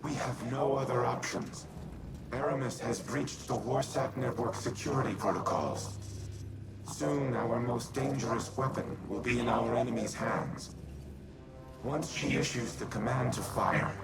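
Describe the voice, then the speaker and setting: Game cast: a man playing a calculating old machine intelligence, deep and gravelly, formal cadence, heavy score behind. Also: close to an everyday voice. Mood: resolute. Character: ancient male warmind AI, solemn and calculating. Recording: game audio in a reverberant space with loud music.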